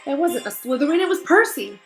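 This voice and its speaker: A woman teacher speaking to children in an encouraging, affectionate way, in the expressive style of a storybook read-aloud. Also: sits high, brisk, gravelly, music in the background. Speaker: teacher reading aloud to pupils.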